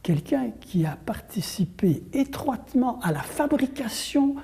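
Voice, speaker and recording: Older male interview guest, extremely dark, muted timbre, deliberate explanatory delivery. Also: extremely high pitch, very animated, gravelly. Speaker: interview guest, language course creator.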